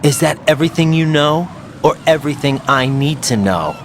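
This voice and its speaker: A man's voice: on the high side, expressive, normal speed. An actor playing a young male special forces operative in a video game cutscene, wary and always questioning. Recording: game mix with clear background noise.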